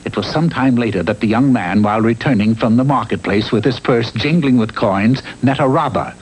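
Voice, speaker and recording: A man narrating a cartoon fairy tale in a measured, theatrical, tongue-in-cheek storybook style. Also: mid-range voice, slightly rough, lightly acted. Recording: noisy old TV cartoon soundtrack.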